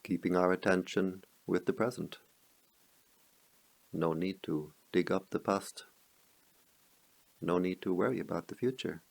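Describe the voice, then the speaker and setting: A man guiding a meditation as a teacher, speaking calmly in measured short phrases with long pauses. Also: low-pitched, gravelly. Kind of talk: guided meditation.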